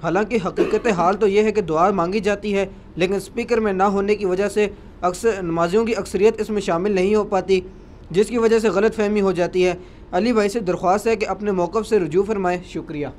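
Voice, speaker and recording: High-voiced man reading a question. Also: fairly even intonation, brisk, a little mellow. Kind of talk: formal read-out question. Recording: noisy room ambience.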